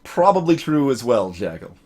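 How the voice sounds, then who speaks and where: A man's voice: mid-range voice, big pitch swings, moderate pace. A man doing let's play commentary, reading a game aloud and talking back to it and to his chat.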